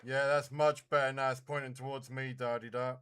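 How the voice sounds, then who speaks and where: A man's voice: medium pitch, level tone, a little fast. A man hosting a casual CB radio net, chatting in a friendly, informal way. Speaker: CB radio net controller and live stream host. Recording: very noisy CB radio live stream.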